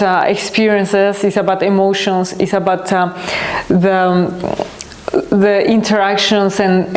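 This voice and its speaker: A woman from Colombia, hosting a podcast and answering audience questions in an earnest, reflective way, often pausing to search for words. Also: mid-range voice, darkish tone. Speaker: podcast host and company founder. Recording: roomy echo.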